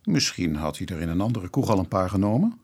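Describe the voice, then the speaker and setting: An actor playing a courteous, methodical police inspector in a Dutch radio play, with a probing, matter-of-fact manner. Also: male voice, sits low, big pitch swings, fast talker, a little mellow, slightly rough, exaggerated voice.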